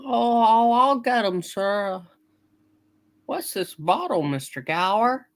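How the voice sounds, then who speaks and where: A woman's voice: medium pitch, lively intonation, takes it slowly. A woman voicing an earnest, frightened young errand boy who stammers and pleads in an amateur radio play reading. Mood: nervous, dutiful.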